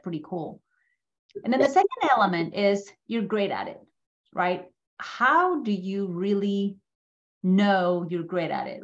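Creaky-voiced middle-aged woman presenting. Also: on the low side, muffled recording. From Mexico City, Mexico. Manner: conversational, checks in often with "right?". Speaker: guest speaker at a business school. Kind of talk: informal slide presentation, personal story. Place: indoor room, on a video call. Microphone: video call microphone.

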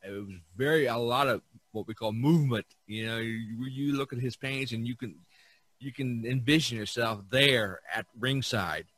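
A male podcast host talks casually about art in a bright, clear-cut voice with a very dry sound. He halts often and repeats words while he searches for the next one. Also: mid-range voice, normal speed, no echo, poor audio.

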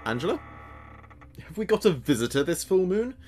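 A man's voice: high-pitched, big pitch swings, normal speed, darkish tone. A man playing a chatty small-town late-night radio host in an audio drama, with playful, wry patter. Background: background music.

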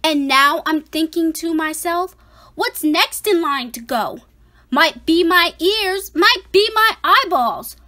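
Woman reading as an anxious, high-voiced little boy. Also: lively intonation, bright, forceful tone, full character voice. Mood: scared, fretful. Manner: slow, emphatic, theatrical delivery. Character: anxious, dramatic five-year-old boy narrating his own story. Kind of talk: expressive picture-book read-aloud.

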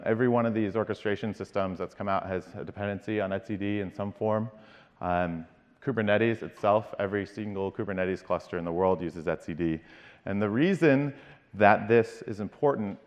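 A man in his thirties, a company CEO, giving a conference keynote from a large stage in a measured way, with frequent ums and restarts. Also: fairly low pitch. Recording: dull-toned keynote audio with long hall reverb.

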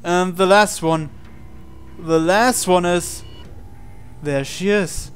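A man reading a visual novel aloud as a dramatic narration, with deliberate pauses. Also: high voice. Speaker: gaming channel host. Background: game music.

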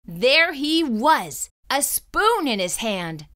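Female children's storyteller, higher-pitched and very animated, dramatic suspenseful delivery. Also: slow pace. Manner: suspenseful, theatrical, emphatic. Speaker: storyteller reading a children's book aloud. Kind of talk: dramatic read-aloud narration.

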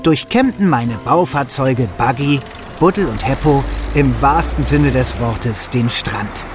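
A man's voice: mid-range voice, measured pace, natural voice. A man playing a friendly builder who narrates his day in a children's audio drama. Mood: storytelling. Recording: music behind the voice.

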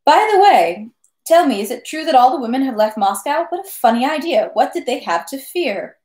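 A jolly, swaggering French officer from a storybook, chattering on and on about his war wounds with cheerful pride, as a woman puts him on. The lines bounce up in pitch as he gets carried away. The reading sits in a roomy, echoing space. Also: a little fast. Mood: merry. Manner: lively, talks ceaselessly, good-natured bragging. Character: merry boastful French army captain, man. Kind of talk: audiobook character reading.

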